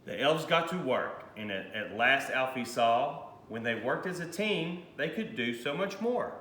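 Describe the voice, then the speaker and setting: A man reading a rhyming children's storybook aloud in an expressive, animated way. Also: medium pitch, measured pace. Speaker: high school principal reading a picture book aloud. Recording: roomy echo, faint background noise.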